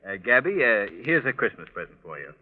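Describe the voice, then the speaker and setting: A man's voice: medium pitch, big pitch swings, fast talker. An actor playing a wealthy, genial young man about town with bantering, polite manners, in 1940s radio drama. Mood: genial, amused. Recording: tinny, noisy vintage radio recording.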